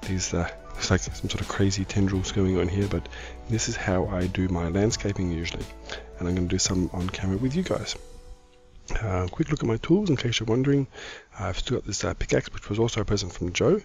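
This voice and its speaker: A middle-aged man with a croaky voice, giving casual, easygoing gaming commentary as the host of a video. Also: low voice, a little fast, darkish tone, faint music behind. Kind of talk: casual Minecraft let's-play commentary.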